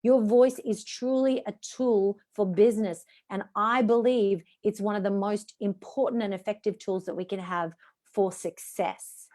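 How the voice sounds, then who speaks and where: A woman's voice: mid-range voice, steady tone, measured pace, dark tone. Encouraging woman voice coach. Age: middle-aged. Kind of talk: webinar teaching on video call. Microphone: video call.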